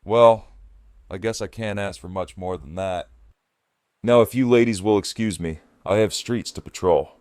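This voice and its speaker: Low-voiced, guarded lawman played by a man. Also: moderate pace, darkish tone, no room sound, clean studio sound, lightly acted. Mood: guarded, polite. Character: reserved newcomer sheriff, measured and guarded. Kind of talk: audio drama dialogue.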